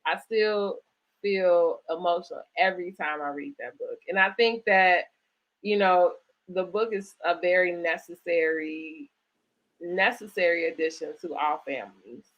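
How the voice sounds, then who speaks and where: A woman with a slightly low voice hosts a live online interview. She talks conversationally and in an affirming, encouraging manner. The sound is a little dull and lo-fi, like a livestream. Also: unhurried, a bit bright. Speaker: host interviewing an author.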